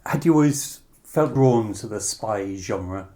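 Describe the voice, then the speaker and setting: Man, dark relaxed timbre, podcast interviewer, introductions and questions. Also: fairly low pitch, takes it slowly.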